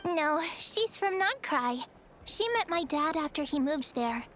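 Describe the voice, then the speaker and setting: A woman voicing a gentle, unsure little girl in a fantasy game, speaking in an earnest, hesitant, childlike way. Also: sits high, lively intonation, measured pace, a little hiss or hum. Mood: earnest, wistful.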